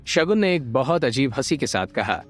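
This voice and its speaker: Male narrator for a serial audio drama: mid-pitched, highly expressive, dramatic, soft music bed. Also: normal speed. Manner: expressive, suspense-building. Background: light background music.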